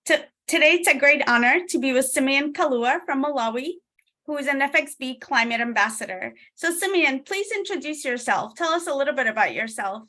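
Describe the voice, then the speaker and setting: High, bright woman hosting an interview. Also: expressive, brisk. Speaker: interview host. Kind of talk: scripted interview introduction.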